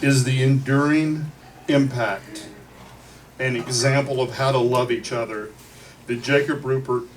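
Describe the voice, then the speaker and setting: A man delivers a eulogy in short, deliberate phrases, leaving dramatic pauses. His pace is slow and his mid-pitched voice is picked up from a distance, with some background noise of the venue. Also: steady tone. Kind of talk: eulogy at a memorial gathering. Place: bar venue, captured from the audience. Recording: distant mic in a noisy bar.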